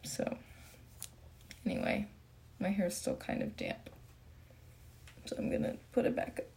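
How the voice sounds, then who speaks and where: Gravelly-voiced young woman chatting casually. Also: on the low side, measured pace, slight background noise. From Japan. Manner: chatty and relaxed, with frequent fillers and laughs. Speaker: live stream host. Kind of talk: casual live Q&A chat, reading comments.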